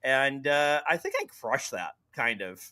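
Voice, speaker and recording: A man's voice: medium pitch, animated, a little slow, bright, forceful tone, dry recording, clean studio sound. Laughing man hosting a sports podcast. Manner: laughs often, joking, swears casually. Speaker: podcast host. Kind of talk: casual sports podcast chat.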